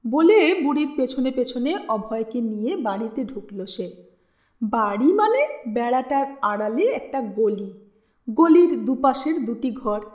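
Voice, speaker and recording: A woman narrates literary fiction in a high-pitched voice. She takes her time, shaping each phrase expressively. The recording has a clear roomy echo around her. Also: very animated, unhurried, a little mellow, dull audio. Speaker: storyteller reading literature aloud. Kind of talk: dramatic audiobook-style story narration.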